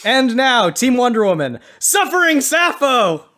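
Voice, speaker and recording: A man's voice: extremely high pitch, sing-song, a little slow, sharp tone. A man moderating a comedy debate as judge, with a mock-official, announcer-like manner. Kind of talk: comedic mock-formal hosting.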